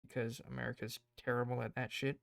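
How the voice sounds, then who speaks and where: A man chatting on a livestream with a gravelly, croaky voice that has a bright, forceful edge. He rambles and thinks aloud as he plans, sounding hoarse and worn. The sound is close and dry. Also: medium pitch, level tone, fast talker, dry recording. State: hoarse, tired voice. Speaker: streamer. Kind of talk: casual livestream chat.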